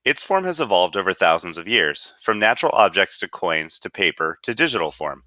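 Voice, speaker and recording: Bright, low-pitched man reading aloud. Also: big pitch swings, fairly quick, no room sound. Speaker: reader.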